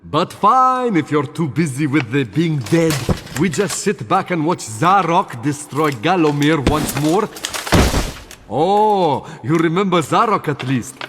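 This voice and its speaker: An actor voicing a boastful, indignant genie in a video game, speaking theatrical broken English. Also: male voice, on the high side, sing-song, a little slow, in a large hall, over music. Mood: scornful. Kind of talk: animated game character dialogue.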